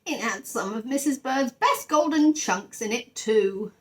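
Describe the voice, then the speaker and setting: High-pitched young boy bear, acted by a woman, earnest, polite, eager, exclaiming, children's storybook. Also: animated, unhurried. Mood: indignant. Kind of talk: children's storybook character reading.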